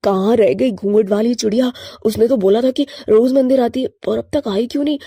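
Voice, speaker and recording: A woman voicing a lovestruck young male crow in an earnest, pleading way for an animated story. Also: a little high, a little fast, breathy edge, no room sound, clean studio sound.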